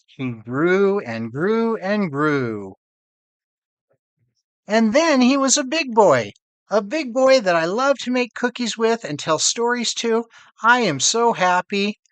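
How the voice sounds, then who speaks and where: Loving storybook mother, played by a man, tender, emphatic, drawn-out phrases, animated pitch swings. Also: no echo, exaggerated voice. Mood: fond, joyful. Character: loving mother fondly recalling happy times to her child.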